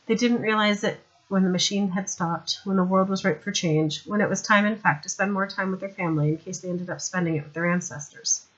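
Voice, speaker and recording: A woman's voice: medium pitch, fairly quick, few pauses, a bit bright, lo-fi sound. A woman reading a novel aloud as scripted audiobook narration. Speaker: audiobook reader.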